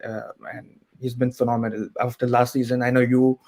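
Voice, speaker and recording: Man, caller, measured, monotone delivery, mid pitch. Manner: measured, even, thinking aloud. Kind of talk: casual call-in chat.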